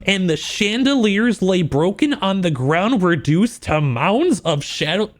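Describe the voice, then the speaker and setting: Guy streamer w a high voice, swings all over, slangy n incredulous, jokey casual reacting. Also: measured pace. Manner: animated, incredulous, joking, slangy. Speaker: livestreamer. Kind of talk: casual livestream reaction with book read-outs.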